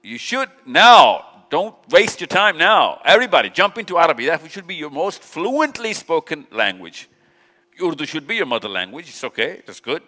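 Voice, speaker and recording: A man addresses a live crowd, his voice fairly high and ringing bright. He is direct and teasing with his listeners. A long hall reverb trails every phrase. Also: sing-song, normal speed. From Pakistan. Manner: direct and teasing, repeats "yeah" often. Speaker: speaker. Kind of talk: live talk with audience interaction. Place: large event hall.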